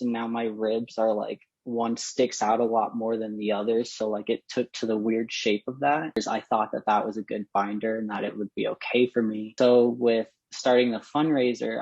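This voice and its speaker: A man of twenty-one who organized a fundraiser, speaking haltingly with many fillers in a casual interview. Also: a little mellow, slightly rough, dry recording. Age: 20s. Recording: dull, dry low-fi recording.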